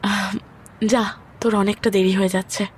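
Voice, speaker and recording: A woman's voice: medium pitch, little pitch movement, normal speed, slight background noise, natural voice. A woman playing a weary, reserved young widowed mother in an audio story, speaking in a subdued, hesitant way. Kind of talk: Bengali audio story acting.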